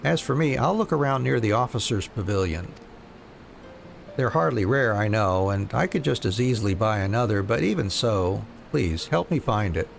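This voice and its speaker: A man's voice: fairly low pitch, expressive, moderate pace, creaky, soft background music. A man voicing a scatterbrained, hearty rebel soldier in a fantasy game, sheepish and chatty. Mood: sheepish, pleading. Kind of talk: reading fantasy game dialogue aloud.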